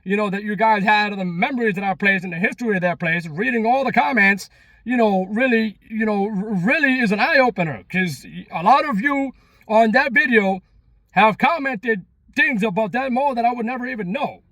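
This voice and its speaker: A man in his thirties with a notably high voice and a Long Island accent. He rambles casually to his vlog viewers, emphatic and repetitive, in a dry recording with little room sound. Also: normal speed, sharp tone, no room sound. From Long Island, New York. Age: 30s. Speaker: vlogger.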